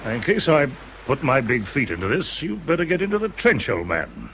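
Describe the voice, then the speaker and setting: An actor playing a kindly, unflappable British army officer in a radio drama, breezy and encouraging in clipped old-chap speech. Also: male voice, medium pitch, huge pitch swings, normal speed, vocal fry, fairly bright tone, a little hiss or hum, full character voice. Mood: brisk, helpful.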